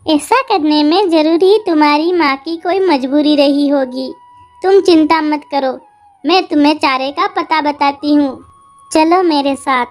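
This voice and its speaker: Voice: high-pitched, measured pace, faint music behind. A performer voicing a small, kindly female bird in a cartoon fable, reassuring and helpful. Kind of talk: cartoon animal fable dialogue.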